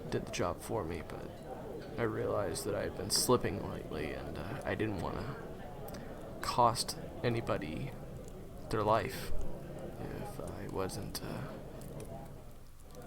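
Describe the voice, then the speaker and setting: A man playing a gentle, humble ex-hunter turned guide in an audio roleplay, speaking hesitantly and softly with frequent pauses. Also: lowish voice, gravelly, heavy background noise. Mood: somber, confessional. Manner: hesitant, soft-spoken, halting with pauses. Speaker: monster hunter's handler in a fan audio roleplay. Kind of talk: intimate conversational audio roleplay acting.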